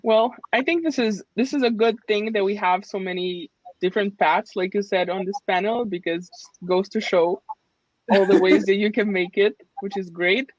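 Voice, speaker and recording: Brief: woman moderator, mid pitch, friendly handoffs, dull lo-fi and dry call sound. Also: steady tone, moderate pace, dry recording. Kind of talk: panel moderation. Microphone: video call.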